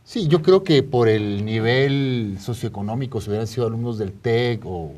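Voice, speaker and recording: A man's voice: medium pitch, moderate pace, crowd noise. A man with a Mexican accent co-hosting a studio program and giving conversational news commentary. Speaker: co-host commentator. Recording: voice distant from the microphone.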